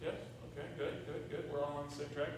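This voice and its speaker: A man's voice: on the high side, completely flat delivery, fast talker. Older male American pastor, conversational and emphatic, recorded from afar in a sanctuary with faint noise. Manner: conversational, rhetorical questions with check-ins to congregation. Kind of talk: sermon. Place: large church sanctuary, at pulpit. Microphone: far camera in the room. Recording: very echoey church, distant mic, faint noise.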